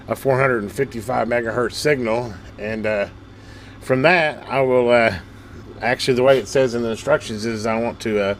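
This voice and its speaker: A man hosting a hands-on electronics repair video, explaining step by step in an informal way as he works. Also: medium pitch. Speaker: host of a hobby electronics repair channel. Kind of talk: casual hands-on demonstration. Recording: clear background noise.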